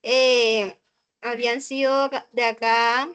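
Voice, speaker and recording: Slow, halting Colombian woman student. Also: a little high, little pitch movement, a bit bright, clear, resonant tone. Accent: Colombian Spanish. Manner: halting, many fillers and restarts. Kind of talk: hesitant class answer. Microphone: video call.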